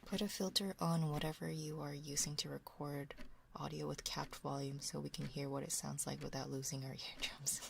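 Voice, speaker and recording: A woman hosting an ASMR livestream, chatting casually in a soft, hushed voice that often slips into whispers, close to a binaural microphone. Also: low voice, flat delivery, normal speed, vocal fry. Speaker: livestream host. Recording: close binaural capture with faint noise. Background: faint noise, soft clicks of a ticking clock.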